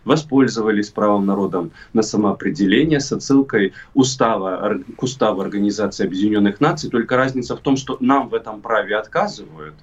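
Distant, muffled man giving political commentary. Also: on the low side. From Crimea. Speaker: expert guest, political commentator. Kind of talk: interview answers, political commentary. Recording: muffled remote call, distant sound.

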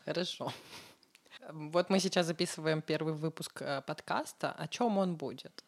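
A woman's voice: low voice, moderate pace. A woman co-hosting a casual podcast, interviewing her partner with brief, probing questions.

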